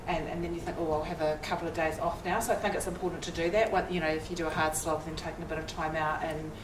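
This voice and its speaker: A woman with a lowish voice gives advice from a panel, rambling and restarting often. The sound is distant and roomy, with audible background noise. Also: flat delivery, quick pace. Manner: hesitant, rambling, many restarts. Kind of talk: panel discussion answer. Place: lecture room at an evening event. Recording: roomy hall from afar with noise.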